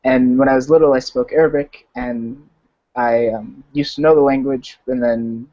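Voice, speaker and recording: An eighteen-year-old man with an American accent, sharing personally in a halting, reflective way over a video call. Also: medium pitch, steady tone, warm tone. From Arizona, United States. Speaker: discussion participant, college student. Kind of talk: casual personal sharing in online discussion. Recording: dull, lo-fi video-call audio.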